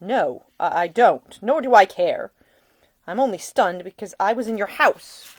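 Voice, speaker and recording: A woman voicing an earnest, blunt, smitten Victorian gentleman in a storybook reading. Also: medium pitch, lively intonation, measured pace, no echo, pitched above the performer's own voice. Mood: stunned. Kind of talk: acted audiobook dialogue.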